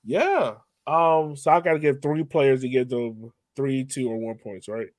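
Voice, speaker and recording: A man's voice: medium pitch, unhurried, a little mellow, no room sound. A man co-hosting a soccer fan podcast, talking casually and informally about games in an upbeat way. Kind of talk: casual game-analysis chat.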